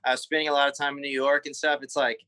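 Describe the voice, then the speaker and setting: A man with a Southern accent from South Carolina, telling his life story as an interview guest, often pausing and repeating words. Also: medium pitch, little pitch movement, sharp tone, smooth, no room sound. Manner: halting, repeats words while recalling memories. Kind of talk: casual interview conversation.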